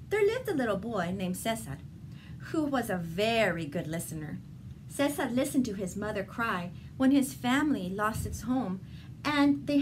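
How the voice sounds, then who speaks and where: A woman reading a children's picture book aloud in a deliberate, expressive storytelling manner. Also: fairly high pitch. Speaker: storybook reader. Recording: clearly noisy background ambience.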